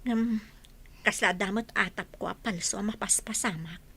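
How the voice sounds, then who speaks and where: A woman's voice: mid-range voice, normal speed, pushed and bright, husky, dry recording. A woman playing a devoted, trusting wife with creeping suspicion in an Ilocano radio drama. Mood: defensive, uneasy.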